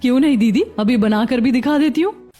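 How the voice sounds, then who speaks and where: A woman's voice: fairly high pitch, brisk, warm tone, close to an everyday voice. A woman playing a caring, sensible young mother and daughter-in-law in a cartoon family drama. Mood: affectionate.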